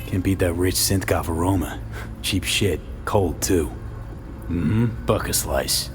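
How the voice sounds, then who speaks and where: A low male voice, gruff and terse, for a video game mercenary. He investigates with dry sarcasm, over clearly audible music. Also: slow. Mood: wry, analytical. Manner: terse, sardonic.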